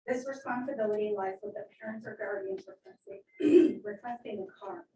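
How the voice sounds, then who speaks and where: A woman's voice: fairly high pitch, expressive, normal speed, dark tone. Firm mother making a public comment. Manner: firm, argumentative delivery. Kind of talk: prepared public comment at a meeting. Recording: echoey room, far from the mic, faint noise.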